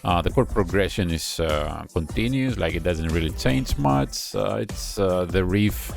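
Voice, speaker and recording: Gravelly deep-voiced man breaking down a song. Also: lively intonation, unhurried, faint music behind. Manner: thinking aloud, hums chords to explain. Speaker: music reaction host. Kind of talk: casual music analysis commentary. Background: faint song playing.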